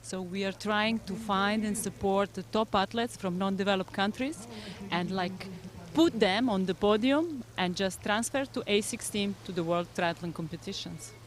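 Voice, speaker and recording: A woman with a Serbian accent speaking in earnest, slightly halting English as a coach being interviewed at a race. Her pitch sits in a normal middle range. She is recorded outdoors at the course. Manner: earnest, non-native phrasing with fillers. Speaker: head coach of a development team. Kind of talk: live interview.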